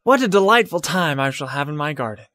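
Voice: mid-range voice, animated, a little fast, a bit bright, dry recording, natural voice. A performer playing a meek, naive little man, humble and earnest, in an audio storybook drama. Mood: hopeful. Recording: faint background noise.

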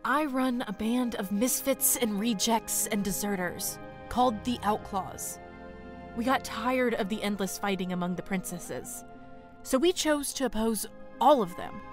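A woman's voice: on the high side, measured pace, faint music behind, natural voice. A woman playing a tough but motherly female dragon who leads outlaws, firm and resolute, in an audio drama. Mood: proud.

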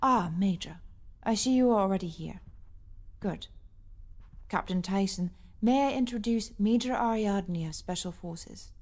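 A woman playing an authoritative rebel commander in an audio drama, composed, formal and measured. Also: mid-range voice, a little mellow, lightly acted. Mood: brisk, formal.

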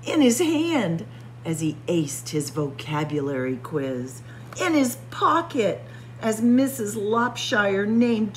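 A woman reading a picture book aloud in a dramatic, suspenseful story-time style, sometimes hushed for emphasis. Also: a little high, a little hiss or hum. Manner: suspenseful, expressive, drops to hushed whispers for emphasis. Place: indoor library room with bookshelves and windows.

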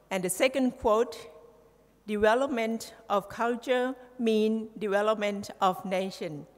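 A woman in her forties from southern Thailand with a Thai accent, giving a formal conference speech at a podium through a headset mic, in a measured, halting way. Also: medium pitch. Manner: measured, halting delivery with restarts. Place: large conference hall, at a podium. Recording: reverberant hall.

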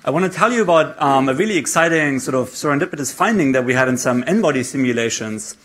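A man opening his science talk in a lecture room, casual and a little joking. His voice sits at an ordinary middle pitch for a man. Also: expressive, fast talker, fairly bright tone. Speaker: research speaker. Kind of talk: conference talk.

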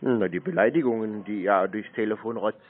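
A man's voice: lowish voice, brisk. A man who calls scammers, mocking and insulting them while pressing for their names. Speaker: scam-baiting caller. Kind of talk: confrontational phone call. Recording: dry tinny phone line.

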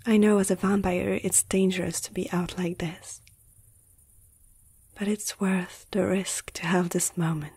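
A woman playing a gentle, protective vampire in an ASMR roleplay, soft-spoken and often slipping into a whisper, soothing a human. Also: on the low side, level tone, a little slow, husky, heavily acted. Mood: tender, wistful.

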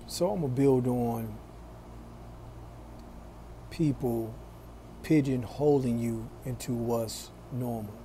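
A man in his forties talking to his phone camera in a small room, slow and deliberate, with a preachy tone. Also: medium pitch, mellow, a little hiss or hum. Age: 40s. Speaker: vlogger. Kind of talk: casual talk to camera.

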